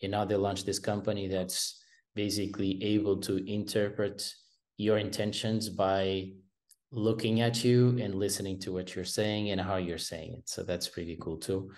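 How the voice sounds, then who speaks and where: A man speaks in a fairly low voice over a video call from a room at home. He talks in a hesitant way, full of fillers and false starts. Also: little pitch movement, normal speed, a little mellow. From Portugal. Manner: hesitant, many restarts and fillers. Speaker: venture capital guest. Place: home room with painting behind.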